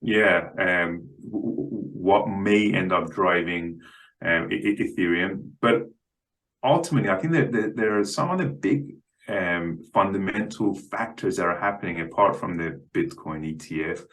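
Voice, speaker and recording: Low-voiced man, halting and stammering. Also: fairly bright tone, rough recording. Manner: halting, stammers on word starts. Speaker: guest market analyst. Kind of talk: unscripted interview discussion.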